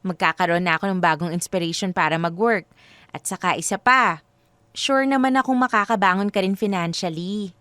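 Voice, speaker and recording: An actress playing a devoted, generous young girlfriend in a Filipino radio drama, sweet, upbeat and expressive. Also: lowish voice, a little fast, no echo, close to an everyday voice. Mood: reassuring.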